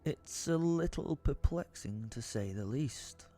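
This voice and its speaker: A man's voice: mid-range voice, lively intonation, takes it slowly, dark tone, faint music behind. A man playing a brooding, exasperated werewolf who tells his own story as theatrical first-person audio drama narration. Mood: bemused. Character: brooding, foul-mouthed male werewolf, seething with helpless rage.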